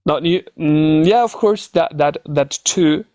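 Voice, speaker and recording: A man's voice: on the high side, slow, darkish tone, no room sound. A man from Mexico with a Mexican accent, a native Spanish speaker, answering interview questions as a scientist, hesitantly searching for words. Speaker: scientist, English learner being interviewed.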